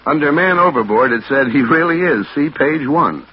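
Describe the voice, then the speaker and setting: A dull old radio recording with light noise carries a wry, quick-witted newspaper reporter played by a man. He is American, with a slightly high voice for a man. He tells his adventure in clipped radio drama fashion. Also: animated, lightly acted. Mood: wry narrating. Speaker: lead actor and first-person narrator. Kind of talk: mid-century American radio drama acting.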